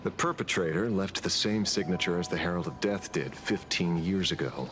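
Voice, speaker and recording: A man's voice: low-pitched, moderate pace, lightly acted. A man playing a sly, teasing veteran investigator in a video game, with a casual, wry and theatrical delivery. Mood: serious. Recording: music behind the voice.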